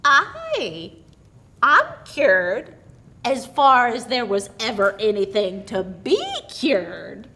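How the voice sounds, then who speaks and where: A woman doing a sly, high-voiced old aunt. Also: big pitch swings, extremely slow, pushed and bright, exaggerated voice. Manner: dialect speech, chuckling. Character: old aunt, sly, who only feigned deafness.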